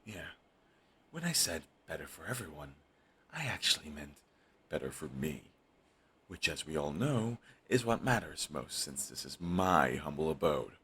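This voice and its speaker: Deep, husky, snarky villain voiced by a man for an audio roleplay; dry and dismissive. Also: big pitch swings, measured pace, fairly bright tone, full character voice. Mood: irritated. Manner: sarcastic, dismissive. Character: snarky, grumpy male supervillain, middle-aged. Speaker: voice actor.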